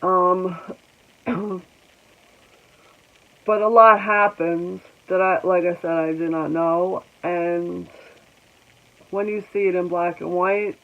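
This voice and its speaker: Slow, congested woman in her forties. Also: lowish voice, vocal fry, narrow, tinny audio. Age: 40s. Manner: halting, reflective, with many pauses and ums. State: congested, clearing her throat often. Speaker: vlogger. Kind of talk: personal vlog talking to viewers.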